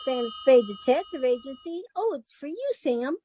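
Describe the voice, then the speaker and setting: A girlish, lovestruck secretary acted by a woman from a vintage radio comedy. Her voice is very high and sweet. She draws her words out in a swooning, devoted manner. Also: lively intonation, normal speed, dark tone, a little hiss or hum. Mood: chirpy. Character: adoring, girlish female detective's secretary. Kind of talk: old-time radio comedy acting.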